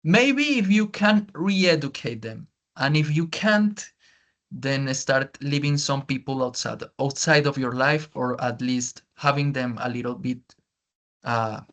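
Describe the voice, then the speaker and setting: A man's voice: medium pitch, expressive, measured pace, a bit bright. Guy teaching english w a thick spanish accent, on a video call, flips into spanish to repeat stuff. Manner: switches into Spanish to restate points. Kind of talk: online feedback session.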